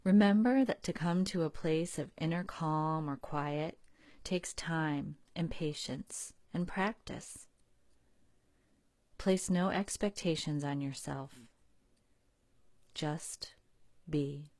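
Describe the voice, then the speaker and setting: A woman leading a guided relaxation in a calm, soothing, unhurried manner with long pauses. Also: deep voice, no room sound. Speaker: guided meditation leader.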